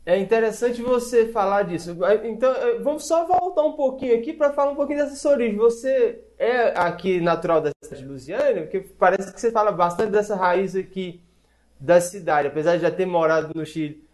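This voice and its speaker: A man's voice: sits high, a little fast, darkish tone. A man hosting an interview, asking long, rambling questions dotted with "né". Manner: rambling, with many "né" and restarts. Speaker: interview host.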